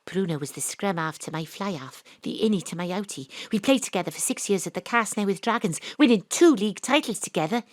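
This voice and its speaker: A woman's voice: mid-range voice, lively intonation, a little fast, hardly any pauses, no echo, close to an everyday voice. A woman voicing a brash private eye who was once a Welsh rugby star, narrating her own noir story with a wry tone. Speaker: narrator and detective. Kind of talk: noir audio drama narration. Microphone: home studio mic.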